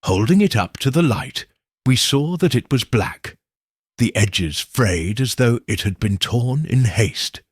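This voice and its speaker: Slow husky male storyteller. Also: mid-range voice, lively intonation, no echo, clean studio sound. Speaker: audiobook narrator. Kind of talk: first-person story narration.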